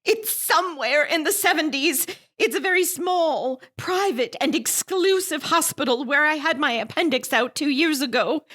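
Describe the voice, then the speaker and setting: An actress playing a wealthy, demanding invalid wife, fretful and hysterical, in a melodramatic suspense radio play. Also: female voice, high voice, animated, moderate pace, hardly any pauses, fairly bright tone. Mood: impatient, demanding.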